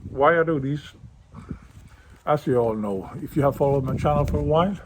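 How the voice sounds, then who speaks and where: A man's voice: a little high, a little fast, background noise. A man with a Swedish accent, a native Swedish speaker, hosting a casual shaving vlog and now and then searching for English words. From Sweden. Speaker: shaving channel host.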